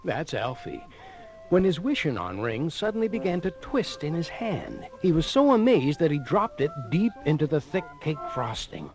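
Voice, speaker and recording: A man's voice: fairly high pitch, moderate pace, poor audio. A man narrating a children's storybook record in a theatrical, dramatic style. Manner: theatrical, animated. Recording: 1980 vinyl read-along record with music.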